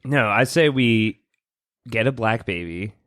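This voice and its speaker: A man's voice: lowish voice, lively intonation, unhurried, a bit bright, no echo. A man co-hosting a podcast in casual comedic chat, with a dry, understated joking manner. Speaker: podcast co-host.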